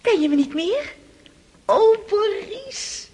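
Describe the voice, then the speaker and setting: High-pitched, girlish excitable young countess. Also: slow, heavily acted. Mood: eager. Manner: gushing, impulsive, girlish exclamations. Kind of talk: radio drama acting. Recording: noisy old radio play recording.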